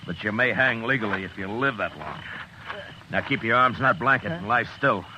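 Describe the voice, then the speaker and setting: An actor playing a stern, terse frontier US marshal who narrates and talks gruffly, in 1950s radio drama. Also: male voice, lowish voice, lively intonation, fairly quick, noisy background, lightly acted. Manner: curt, gruff, commanding. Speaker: narrator and lead.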